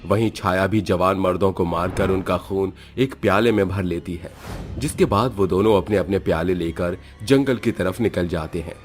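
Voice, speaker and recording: A man's voice: low-pitched, normal speed. A man narrating a scripted horror story.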